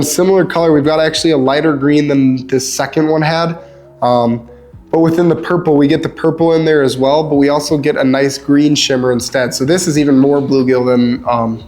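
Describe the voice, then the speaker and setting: A young man with a stuffed-up nose, casually reviewing fishing lures in a relaxed, chatty way with lots of ums. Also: a little high. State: stuffed up, sniffly. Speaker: lure reviewer.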